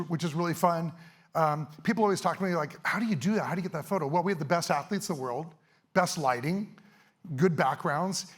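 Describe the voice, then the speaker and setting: A middle-aged man, a little hoarse, giving a fast, energetic, casual talk to a live audience on an event stage. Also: a little high. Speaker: event speaker, sports photographer.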